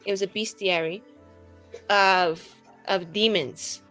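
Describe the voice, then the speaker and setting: A woman speaks as a player in a tabletop roleplaying game. Her pitch is average, and she improvises her character's lines in a casual, chatty way. Quiet music runs behind her. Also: fairly even intonation, slow pace, rough recording.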